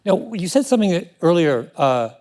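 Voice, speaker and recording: A man's voice: sits high, big pitch swings, moderate pace, a little mellow. A man hosting a podcast, who grew up in Jamaica, asking curious questions and laughing as he talks. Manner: curious, amused, breaks into laughter. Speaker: podcast host. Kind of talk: conversational podcast interview.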